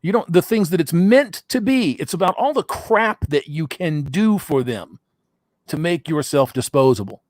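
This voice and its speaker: Middle-aged male podcast host, blunt and crude, slightly high voice, dry close sound. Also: animated, moderate pace, darkish tone, no room sound. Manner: blunt, profane, laughs often. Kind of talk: casual call-in chat.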